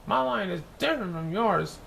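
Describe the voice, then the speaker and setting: A man's voice: sits high, expressive, moderate pace, vocal fry, a bit bright, slight background noise, voice pushed up, exaggerated voice. Man voicing a plaintive, self-doubting young schoolgirl. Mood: defensive.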